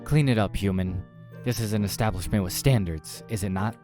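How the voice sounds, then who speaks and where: A man voicing an imperious female eldritch being in a visual novel game, with a rough voice and stilted, formal, commanding speech. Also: fairly low pitch, fairly quick, warm tone, soft background music, close to an everyday voice. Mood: imperious, satisfied.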